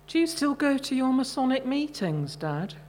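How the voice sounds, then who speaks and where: A woman's voice: sits high, big pitch swings, moderate pace, darkish tone, a little hiss or hum, pitched above the performer's own voice. A woman voicing an attentive, inquisitive grown-up daughter with a wry manner in a short story reading.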